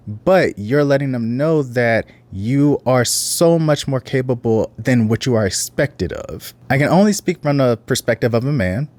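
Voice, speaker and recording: A man hosting reaction commentary in a casual, earnest, reflective way, now and then reading out case details. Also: a little mellow. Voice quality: deep voice.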